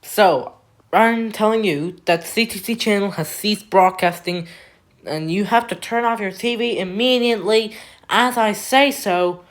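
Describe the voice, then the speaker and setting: A woman delivering a scripted mock emergency announcement as the broadcast's announcer. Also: mid-range voice.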